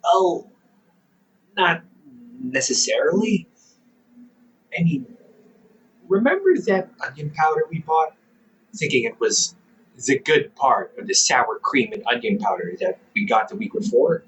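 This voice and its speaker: A goofy, caring older brother, as a man plays him talks slowly to the listener, his pitch leaping around with dramatic bursts and easy laughter. His voice sits at an ordinary middle pitch. He sounds a little distant from the mic. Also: very animated, fairly bright tone, slight background noise. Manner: playful, chatty, laughs often, dramatic bursts. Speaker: audio roleplay performer. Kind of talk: audio roleplay to the listener.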